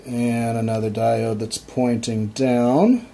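A man's voice: medium pitch, takes it slowly, vocal fry, slight background noise. Young guy demoing a breadboard circuit at his bench, chill, lots of ums, camera mic. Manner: relaxed, with frequent ums and restarts. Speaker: electronics hobbyist demonstrating a circuit. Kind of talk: casual off-the-cuff tutorial. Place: workbench at home, indoors.

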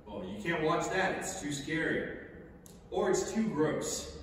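Guy giving a speech at a podium, emphatic, highish voice, really echoey and distant. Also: very animated, a little slow. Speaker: stage reader. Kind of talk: prepared speech read from a podium. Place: large indoor theater stage. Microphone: far camera. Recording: very echoey theater hall, distant mic, hiss.

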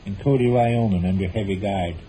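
An American actor playing a stubborn, middle-aged husband with a dry, mock-grandiose manner in a 1940s radio comedy. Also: male voice, lowish voice, a little fast, warm tone, close to an everyday voice. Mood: dry, evasive. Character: droll, stubborn, evasive husband in a domestic comedy. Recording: noisy old 1940s radio recording.

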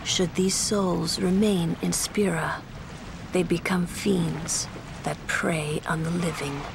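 A woman playing a composed, stern, world-weary young mage in a video game, speaking in a measured, solemn, slightly condescending way. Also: fairly low pitch, steady tone, creaky, noisy background. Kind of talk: video game cutscene acting.

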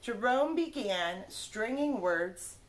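Woman, thirties, storybook read-aloud, very slow pace, lively pitch swings, careful word-by-word emphasis, indoor recording. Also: a little hiss or hum, poor audio. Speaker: teacher reading aloud to children. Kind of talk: expressive read-aloud of a children's picture book. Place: small living room, indoors.

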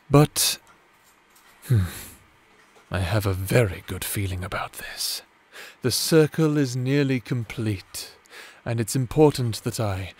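A man's voice: on the low side, breathy edge. A man playing a doting, villainous necromancer husband in a romantic ASMR audio drama, speaking in a theatrical, formal and tender manner.

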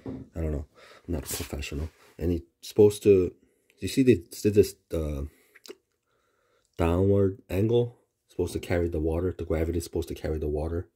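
A man with a low, husky voice talks through a furnace repair in a basement, stopping and restarting as he points things out. His tone is dark and mellow, picked up close on a phone camera. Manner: halting, many fillers and restarts, explains while pointing. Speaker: homeowner showing a furnace repair. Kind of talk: casual how-to video. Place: indoor basement utility room. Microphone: phone camera held close.

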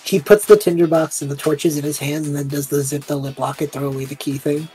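Woman player in an rpg session, low voice, loose chatty narration, faint music under. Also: fairly even intonation, fast talker, dark tone. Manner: loose, conversational. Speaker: tabletop game player. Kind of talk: casual improvised play narration.

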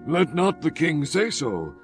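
A man's voice: fairly high pitch, wildly swooping pitch, faint music behind. An actor playing a courteous, pious king, speaking formally in a Bible drama. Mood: earnest. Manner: formal, measured, declamatory.

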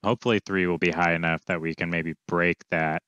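A man's voice: low-pitched, little pitch movement, a little fast, sharp tone, smooth, no echo, muffled recording. A man in his thirties giving a casual screencast tutorial, informal and offhand, thinking aloud as he explains. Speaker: coding tutorial presenter. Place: small indoor room, screen recording with webcam.

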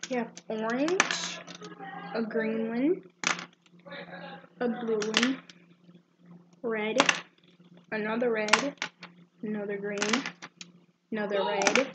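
A young woman doing an amateur ASMR supply-check roleplay, presenting items one by one in a halting, repetitive way. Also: on the high side, steady tone. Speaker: amateur ASMR video creator. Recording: muffled, very noisy amateur recording with clicks.

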